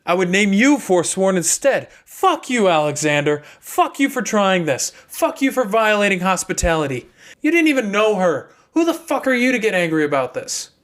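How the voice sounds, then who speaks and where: A man's voice: high voice, very animated, normal speed, fairly bright tone, pitched above the performer's own voice. A man voicing a troubled young male apprentice in an audiobook, blunt, defensive and bitter. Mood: angry, defiant.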